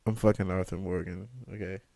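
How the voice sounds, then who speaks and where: A young man hosting a casual interview, joking around, laughing, and loudly protesting in fun. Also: deep voice, steady tone, fairly quick, creaky. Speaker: interviewer, channel host.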